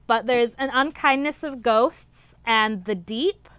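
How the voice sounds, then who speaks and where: High, clear-voiced young woman chatting about picks. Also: slow, a bit bright, no echo. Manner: enthusiastic, gushing, repeats words for emphasis. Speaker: book reviewer, vlog host. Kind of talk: casual book vlog chat.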